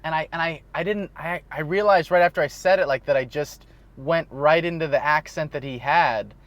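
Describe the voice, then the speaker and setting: A man with a fairly high voice, recorded dry and close, tells a rambling story on a podcast. He often stumbles and repeats himself as he gets going. His talk is loose and unscripted. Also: normal speed, fairly bright tone, no room sound. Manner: rambling storyteller, trips over his words. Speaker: podcast co-host. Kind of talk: casual chat.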